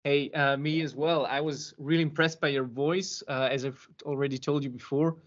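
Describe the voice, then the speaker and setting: A man, hosting a podcast interview over a video call and pausing often with ums and restarts. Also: a little high, fairly even intonation. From Serbia. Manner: hesitant, many ums and restarts. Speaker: podcast host. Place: home room with bookshelf. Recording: muffled video-call audio.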